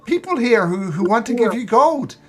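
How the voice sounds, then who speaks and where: A man's voice: extremely high pitch, sing-song, moderate pace, slight background noise, pitched above the performer's own voice. A man voicing a nervous, wheedling young scoundrel begging his grandmother in a tabletop game. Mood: pleading. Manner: pleading, nervous.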